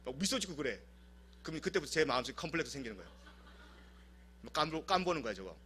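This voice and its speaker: A man from South Korea preaching a sermon in church, telling stories in an animated, humorous way. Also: on the high side, quick pace, slight background noise. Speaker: pastor. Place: church service.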